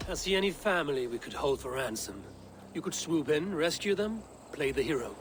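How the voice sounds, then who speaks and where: An actor playing a plain-spoken Viking raider in a video game, wry and measured in delivery. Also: male voice, on the high side, animated, a little hiss or hum, natural voice. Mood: calculating. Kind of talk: video game dramatic acting.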